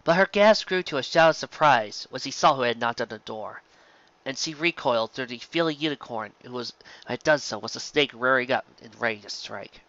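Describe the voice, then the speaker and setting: A narrator reading a scripted story aloud in an expressive, dramatic way. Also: mid-range voice, brisk, no echo, highs cut off. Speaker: fan fiction narrator. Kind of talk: scripted story narration with acted character voices.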